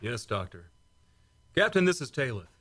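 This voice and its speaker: A serious, dutiful starship commander acted by a man in a space radio play. His medium-pitched voice is recorded dry, and he reports gravely, like an officer giving a briefing. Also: sing-song, moderate pace, fairly bright tone, dry recording. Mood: grave, concerned. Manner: grave, military briefing manner. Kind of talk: science fiction radio drama acting.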